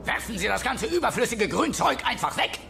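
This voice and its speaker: A greedy plush children's star with a high, wildly swooping voice. He is pushy and gloating, a cartoon bully who can turn syrupy sweet. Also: sing-song, brisk, vocal fry, bright, forceful tone, soft background music, full character voice. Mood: threatening, bossy. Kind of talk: German dubbed cartoon audio play.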